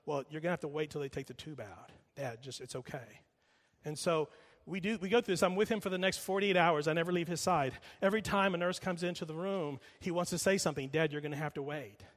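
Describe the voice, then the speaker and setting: Middle-aged guy preaching, slightly high voice, slows down to hammer points, long hall echo. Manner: emphatic, slows down and repeats for emphasis, drops quiet when storytelling. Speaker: pastor. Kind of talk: sermon. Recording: long reverb of a large hall.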